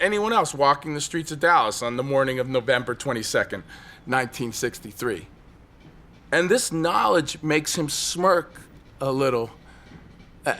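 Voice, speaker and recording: An actor playing a brooding, desperate man on a ledge in an audio drama, telling his story in an intense, halting, obsessive way. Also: on the high side, sharp tone. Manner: intense, halting, obsessive monologue with repeated phrases.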